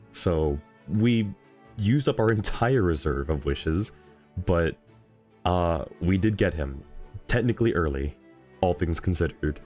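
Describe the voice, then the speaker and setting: A man chatting casually as a game streamer, giving relaxed livestream commentary. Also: low voice. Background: game music.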